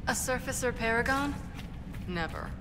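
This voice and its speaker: A woman's voice: a little high, lively intonation, moderate pace, background noise, natural voice. An actress playing a blunt, wry female dwarf smith and inventor in a video game. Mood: wry, confident. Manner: blunt, wry, matter-of-fact. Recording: game sound with clear background ambience.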